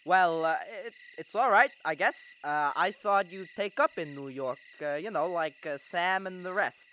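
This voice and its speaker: A woman's voice: fairly low pitch, normal speed, fairly bright tone, a little hiss or hum, lightly acted, rough recording. A young woman playing an awkward, defensive, stubborn young man in a school radio drama, hesitant and stammering. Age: young adult.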